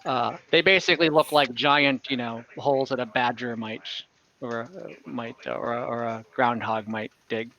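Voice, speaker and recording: Bright-voiced man running a tabletop game. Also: medium pitch, fairly even intonation, dull audio. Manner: conversational, halting, often rephrasing as he describes scenes. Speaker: game master. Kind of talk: improvised narration of an online tabletop game.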